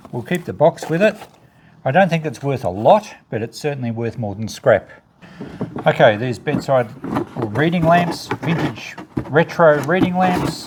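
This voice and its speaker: A man's voice: on the high side, a little mellow. A man hosting a casual unboxing video, thinking aloud in a matter-of-fact way as he sorts through items. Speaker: video host, e-waste recycler and reseller. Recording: noticeable background noise with clicks.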